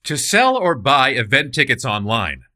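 A man's voice: mid-range voice, sing-song, moderate pace, sharp tone. A man reading a scripted advertising voice-over as an announcer.

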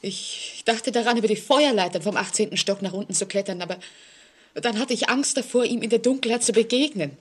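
An actress playing a frightened, insistent woman in a vintage German radio play, speaking in a hesitant, halting way. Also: mid-range voice, slightly rough, close to an everyday voice. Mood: anxious. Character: frightened, haunted young New York woman, insistent.

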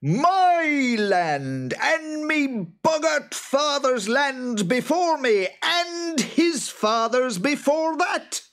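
A storyteller voicing a great hairy boggart from a children's tale, shrill and strained, blustering with rage and greed in drawn-out rustic phrases. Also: very animated, fairly bright tone, exaggerated voice. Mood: shrieking rage. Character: great big hairy boggart, shrieking, raging, greedy and gullible.

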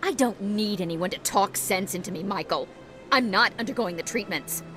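A woman's voice: medium pitch, moderate pace, natural voice. An actress playing a grieving, defiant young widowed mother-to-be in a video game drama, wounded but firm. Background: music.

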